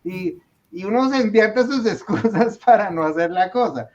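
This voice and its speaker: A man's voice: high voice, normal speed. A man, a small business owner telling his story in a casual podcast conversation and acting out the voices of the people in it. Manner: animated storyteller, acts out quoted dialogue. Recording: dull lo-fi sound.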